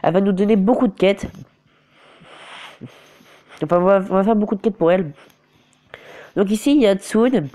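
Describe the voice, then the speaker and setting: A man narrates a mobile game while nursing a cold and a sore throat. His tone is mellow and relaxed, and he keeps halting mid-phrase to hunt for the right word before pressing on. It is loose, casual commentary recorded on a phone. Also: high-pitched. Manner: hesitant, often restarts and corrects himself. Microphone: phone screen recorder.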